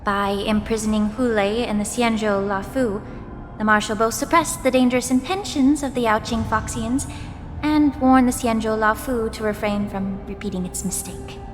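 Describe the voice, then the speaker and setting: Casting: female actor as young game healer, fairly high voice, poised, formal, politely pointed; reverberant with faint music. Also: normal speed, lightly acted. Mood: realizing, serious. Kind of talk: video game cutscene voice acting.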